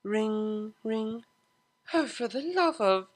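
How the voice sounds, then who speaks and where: A man's voice: high voice, expressive, takes it slowly, pitched above the performer's own voice. A man voicing a refined young female pony cellist from a story, prim and easily flustered. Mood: irritated. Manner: prim, stammering when flustered.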